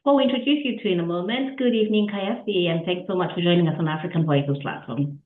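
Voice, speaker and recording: A woman's voice: lowish voice, fast talker. A woman interviewer with a chatty, easy manner, asking her guest questions and laughing along. She comes through a tinny, phone-like line. Her voice rings in an echoey room. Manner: warm, chatty, with restarts and laughs. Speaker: interviewer and host. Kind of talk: interview over a call.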